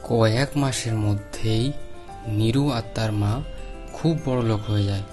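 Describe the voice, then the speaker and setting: A man's voice: on the low side, steady tone, moderate pace, lightly acted. A man narrating a cartoon moral tale in scripted storybook style. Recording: music behind the narration.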